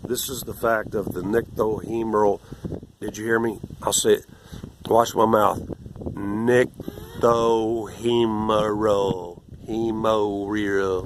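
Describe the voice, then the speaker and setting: A man in his sixties with a rough, irregular voice, rambling and lecturing condescendingly in a video monologue. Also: lowish voice, flat delivery, takes it slowly, background noise. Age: 60s. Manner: condescending, lecturing, meandering with restarts. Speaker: vlogger.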